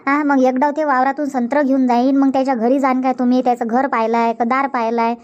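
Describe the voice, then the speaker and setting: A woman's voice: sits high, flat delivery, fast, darkish tone, natural voice. A woman playing a shrewd, outspoken farmer's wife in a cartoon comedy, blunt and emphatic, with a Varhadi Marathi accent from Vidarbha. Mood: scolding. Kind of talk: dubbed cartoon comedy dialogue. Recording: dull lo-fi audio.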